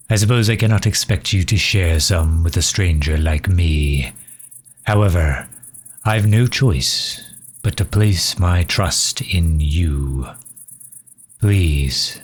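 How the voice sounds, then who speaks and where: A man playing a courteous, weary vampire leader in an audio roleplay, pleading in formal, measured, old-fashioned words with a hushed, breathy voice. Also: deep voice, heavily acted. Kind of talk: scripted ASMR roleplay acting.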